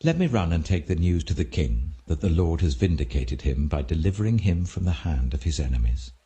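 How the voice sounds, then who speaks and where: A man's voice: very deep voice, very animated, a little fast, dark tone. A man voicing an eager young messenger, pleading and urgent, in a scripture audiobook. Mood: eager, insistent.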